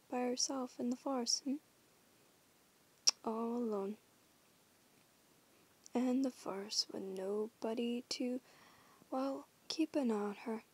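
A woman's voice: a little high, mellow. A woman voicing a teasing young female werewolf in an audio roleplay, whispering huskily and swearing casually. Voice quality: whispery, husky.

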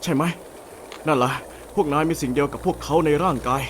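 A man dubbing a cocky, smooth-talking male adventurer ally, with a breezy, flippant manner. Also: fairly high pitch, a little fast, lightly acted. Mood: explaining. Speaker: fan voice actor dubbing a game. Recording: game ambience noise behind voice.

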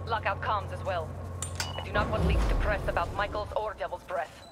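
A commanding mercenary chief played by a woman gives orders formally and sternly. It is video game dialogue with clear noise around the voice. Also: mid-range voice, steady tone, a little fast, natural voice. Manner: formal, authoritative. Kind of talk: game voice acting.

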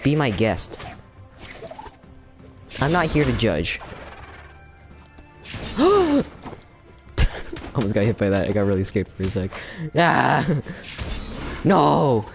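A young man chatting casually while he streams a fighting game, excitable, with sudden yelps and laughs. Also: mid-range voice, slow pace, creaky, long pauses, soft background music. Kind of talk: casual gameplay commentary. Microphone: no camera, desk mic.

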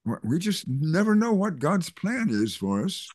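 An older man reading a gentle, hesitant reverend. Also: high-pitched, very animated, a little slow, no echo, clean studio sound, exaggerated voice. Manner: hesitant, gentle, many fillers. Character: kindly older male reverend. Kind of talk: Zoom play reading. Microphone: video call.

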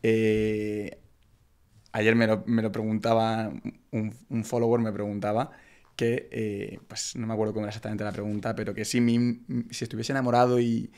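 Young Madrid man with gravelly flat voice. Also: lowish voice, normal speed. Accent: Spain Spanish. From Madrid, Spain. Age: young adult. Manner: relaxed, gesturing, many fillers and restarts. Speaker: podcast guest, entrepreneur. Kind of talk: casual interview chat. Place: podcast studio, indoors. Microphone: broadcast mic on arm, close.

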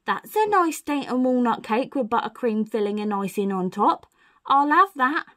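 A woman's voice: a little high, expressive, moderate pace, a bit bright, voice pushed up. A woman voicing a chatty lady burglar in a children's storybook, with emphatic, ungrammatical working-class speech. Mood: pleased.

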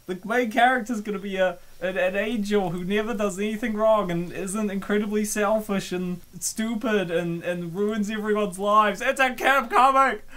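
A man hosting a comic dub, giving joking, theatrical and excitable commentary and reading comments aloud. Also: high-pitched, moderate pace, few pauses.

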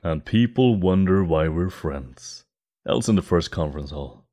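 A man's voice: low voice, lively intonation, moderate pace, mellow. A man acting in an audio roleplay as a loyal spy handler, clipped, matter-of-fact and dryly wry.

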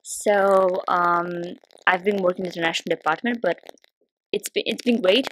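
Brazilian woman, hesitant and halting. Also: lowish voice, fairly bright tone, rough recording. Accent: Brazilian Portuguese. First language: Portuguese. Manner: hesitant, many ums and restarts. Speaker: podcast guest. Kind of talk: casual interview chat.

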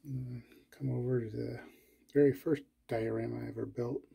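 A man casually narrating a tour of his collection, listing items in a slow, low-key way with pauses and fillers. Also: fairly even intonation, gravelly, dark tone, breathy edge.

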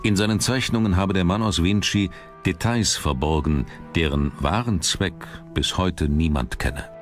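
A man's voice: sits low, unhurried. A man reading scripted narration for a documentary as its narrator. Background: background music.

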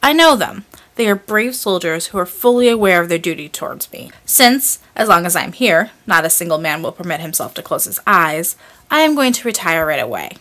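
A woman's voice: fairly low pitch, very animated, a little fast, sharp tone. A woman voicing a bratty, quarrelsome young boy in a storybook reading. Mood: boastful.

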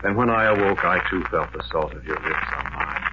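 An actor playing a haunted young soldier who speaks quietly and broodingly in a 1940s radio drama. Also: male voice, rumbling low, a little fast. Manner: quiet, brooding, intimate delivery. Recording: muffled 1940s radio broadcast with loud hiss.

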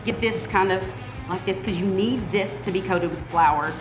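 A woman's voice: mid-range voice, normal speed. A woman giving a step-by-step cooking demonstration, patient and encouraging as she guides a beginner. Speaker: cooking instructor. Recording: roomy kitchen sound with background music.

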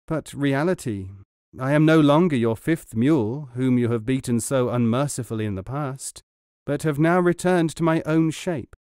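A man's voice: mid-range voice, normal speed, darkish tone, clear, resonant tone, no echo, clean studio sound, close to an everyday voice. A man voicing a sly young noble student in a storybook, speaking with earnest, pious politeness. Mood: sad, pious. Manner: earnest, pleading, formally polite. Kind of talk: storybook character reading.

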